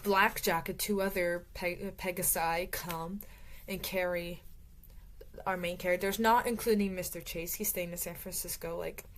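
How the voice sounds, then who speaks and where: A woman talks through a book chapter by chapter in a loose, rambling way, full of fillers and restarts. Her voice sits a little low and sounds tired from talking so long. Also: slight background noise. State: voice getting tired. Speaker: book reviewer. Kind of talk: casual book review recap.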